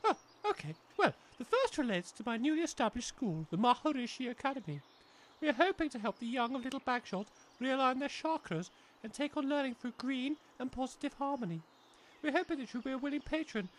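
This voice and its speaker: A woman's voice: high voice, expressive, a little fast. A woman playing an earnest, polite and wordy new-age village schoolmistress with an English accent in a comic audio drama.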